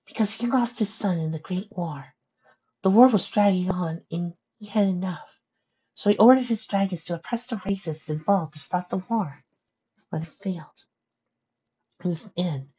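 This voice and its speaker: A woman voicing a gentle, sorrowful young female pony in a storybook reading, speaking softly and earnestly. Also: on the low side, slightly rough, lo-fi sound, pitched above the performer's own voice. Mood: somber. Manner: soft, earnest, measured.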